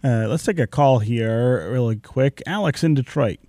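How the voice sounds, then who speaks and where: A man hosting a radio talk show, moderating in a calm, conversational way. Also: medium pitch, normal speed, no echo, clean studio sound. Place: radio studio.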